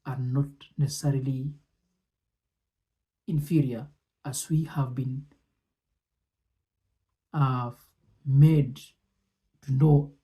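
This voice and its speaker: A man presenting and commenting on speech excerpts in a deliberate, measured way, often pausing between short phrases. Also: medium pitch, fairly even intonation, mellow, poor audio.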